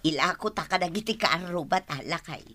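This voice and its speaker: A woman playing a brisk, shrewd neighbourhood fruit seller with a Filipino accent in a comedy radio drama. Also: fairly low pitch, animated, bright, forceful tone. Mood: scheming. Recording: faintly noisy radio drama recording.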